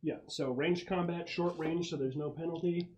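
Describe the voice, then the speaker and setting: A man's voice: fairly high pitch, steady tone, normal speed, a little mellow. A man running a tabletop roleplaying game as game master, casually narrating rules and action. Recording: noisy home recording with clicks.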